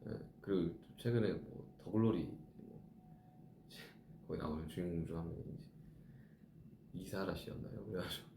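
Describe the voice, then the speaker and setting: A man's voice: sits low, moderate pace, pauses often, warm tone, echoey room, slight background noise. A man in his thirties, a musician chatting casually with fans on a live stream, reflectively explaining how he writes his songs. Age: 30s.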